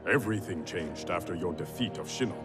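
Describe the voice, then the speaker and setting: Low, distant, stern emperor. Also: male voice, animated, brisk. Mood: stern, guarded. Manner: formal, weighty delivery. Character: stern, dignified male emperor of a warrior otherworld. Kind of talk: video game cutscene acting. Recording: distant voice under background music.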